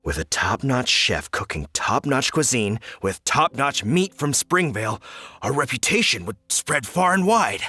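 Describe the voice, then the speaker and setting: A man's voice: mid-range voice, sing-song, unhurried, bright, forceful tone, husky, dry recording, heavily acted. A man voicing a pushy, exasperated food promoter in a video game, persuasive and emphatic. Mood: eager, boastful. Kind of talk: animated game voice acting.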